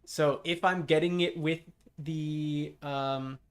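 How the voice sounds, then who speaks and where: A man's voice: on the high side, steady tone, slow pace, clean tone. A man live streaming a game, talking casually and bluntly with exasperated, sweary asides. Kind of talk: casual gaming stream chat. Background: game music.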